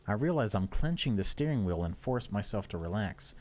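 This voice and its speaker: Deep-voiced man narrating a horror story. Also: animated, brisk, darkish tone. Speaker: let's-play narrator. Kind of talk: dramatic read-aloud narration of a horror visual novel.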